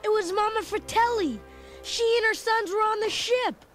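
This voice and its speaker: A performer playing an excitable young boy who tells his adventure as animated, dramatic read-along storybook narration. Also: extremely high pitch, moderate pace. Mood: excited storytelling. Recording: loud music under the voice. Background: loud dramatic music.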